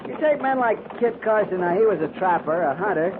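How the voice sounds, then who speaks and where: A man's voice: sits high, animated, brisk. An American actor playing an earnest, respectful cavalry soldier addressing his superior in a 1950s radio western, plain-spoken and deferential. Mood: earnest, curious. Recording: tinny, heavily noisy 1950s radio recording.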